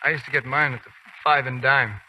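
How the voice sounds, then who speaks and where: An actor in a 1940s radio play voicing a brash young soldier who talks tough and slangy. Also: male voice, medium pitch, fairly even intonation, fairly quick, pushed and bright, a little hiss or hum, low-quality recording. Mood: tender. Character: brash young male soldier called Kansas, tough and cocky.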